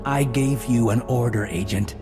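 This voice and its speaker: An actor playing a stern, bitter intelligence officer in a video game, curt and accusing. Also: male voice, mid-range voice, normal speed, over music, lightly acted. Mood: cold, curt. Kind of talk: video game cutscene acting.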